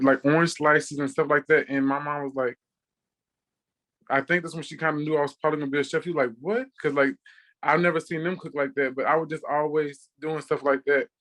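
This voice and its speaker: A man's voice: on the high side, fairly bright tone. A man in his thirties from Texas, a chef guesting on a podcast and telling personal stories casually. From Texas, United States. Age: 30s. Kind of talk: casual conversational storytelling.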